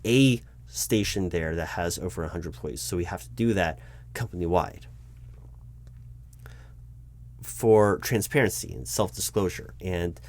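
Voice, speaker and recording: A man playing a flustered human resources manager in an audio roleplay, explaining insistently to his boss in a halting, repetitive way. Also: low voice, close to an everyday voice. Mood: patiently explaining. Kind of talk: one-sided improvised audio roleplay, loud spoken.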